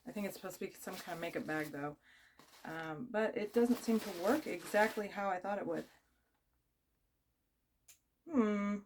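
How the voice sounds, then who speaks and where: A woman's voice: mid-range voice, moderate pace, long pauses, a little mellow, slight background noise. A young woman with a cold chatting casually as she unboxes items, rambling with many fillers and restarts. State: has a cold, losing her voice. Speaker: vlogger.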